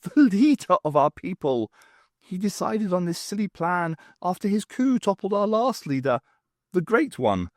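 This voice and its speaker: A man voicing an ancient male alien in an audiobook, a wistful rebel poet and lone survivor who tells his tale in an animated, rambling way. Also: sits high, a little slow, dry recording, studio quality, voice pushed up.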